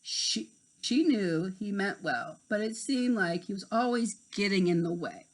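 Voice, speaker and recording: A man's voice: high voice, unhurried, low-quality recording. A man reading a children's story aloud as narrator, now and then stumbling over a word and rereading it. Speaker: storybook reader.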